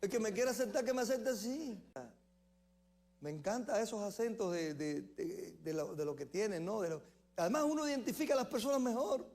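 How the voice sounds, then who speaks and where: High-voiced Cuban pastor preaching. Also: male voice, animated, darkish tone. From Cuba. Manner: emphatic, with slow stressed phrases and self-corrections. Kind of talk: sermon.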